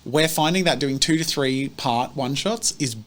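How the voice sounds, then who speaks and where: A man's voice: mid-range voice, normal speed, fairly bright tone. A man hosting a casual chat, asking questions and wrapping up a session. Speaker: show host.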